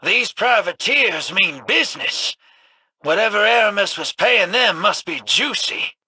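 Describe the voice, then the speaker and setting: A man's voice: high voice, lively intonation, a little slow, sharp tone, slightly rough, no room sound, heavily acted. An actor playing a roguish male space scoundrel in a video game, talking in a wry, teasing drawl.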